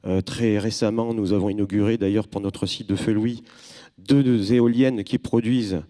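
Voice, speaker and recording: A middle-aged man speaking for his company on a convention panel into a handheld mic, in a measured corporate way with many fillers. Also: on the low side, steady tone, mellow. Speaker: industry representative on a panel. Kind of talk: panel discussion. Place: convention stage, large hall.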